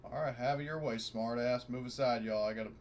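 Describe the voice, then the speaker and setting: A man's voice: medium pitch, fairly even intonation, brisk, slight background noise. A man playing a tabletop game, chatting casually in a hesitant, fumbling and sweary way. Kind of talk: casual chat.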